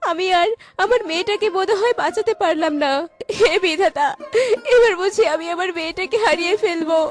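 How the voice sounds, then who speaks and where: A woman's voice: very high-pitched, fairly quick. A woman playing a distraught, bitter village mother who wails her laments in a cartoon. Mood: wailing. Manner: wailing laments. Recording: music behind the voice.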